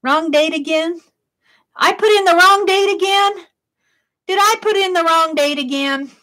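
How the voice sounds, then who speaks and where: A middle-aged woman hosting an art livestream, chatting casually with a loud, projected voice while she works and reads chat comments. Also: very high-pitched, unhurried.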